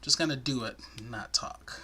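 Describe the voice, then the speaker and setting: A man teaching crochet step by step in a soothing, soft-spoken voice, chatting between stitches. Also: mid-range voice, normal speed, background noise. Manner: soft-spoken, chatty, self-deprecating asides. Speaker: crochet tutorial host.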